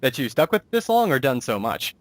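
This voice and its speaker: A man's voice: medium pitch, big pitch swings, fairly quick, no echo. A man hosting a casual interview as a director, explaining things in a rambling way and restating himself. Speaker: interviewer and director of an amateur audio drama.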